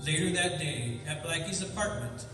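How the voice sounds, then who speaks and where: Male radio announcer, scripted vintage-style read, fairly high voice, music behind, reverberant hall. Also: expressive, normal speed. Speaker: announcer and narrator. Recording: echoey hall, distant mic, music.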